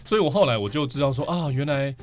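A man's voice: mid-range voice, lively intonation, quick pace. A man with a Taiwanese Mandarin accent, talking and explaining at length as a guest in a casual podcast interview. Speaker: podcast guest, vinyl record collector.

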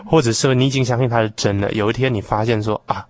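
A man's voice: medium pitch, fairly even intonation, quick pace, no room sound. Young man in a dry studio sound. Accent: Taiwanese Mandarin. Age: young adult. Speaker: guest on a discussion show. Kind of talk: casual explanatory discussion.

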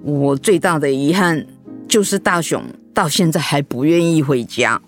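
A woman's voice: sits low, normal speed, soft background music, natural voice. An actress playing a stubborn woman of eighty in a radio drama, plaintive and insistent. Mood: resigned, wistful. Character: stubborn eighty-year-old mother refusing treatment. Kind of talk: Mandarin radio drama acting.

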